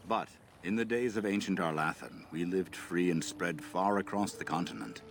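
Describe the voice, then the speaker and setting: A man playing a kindly, solemn old elven lorekeeper in a fantasy game, speaking in a measured, formal way. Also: low-pitched, slight background noise. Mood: grave. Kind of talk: scripted fantasy game acting. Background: game music.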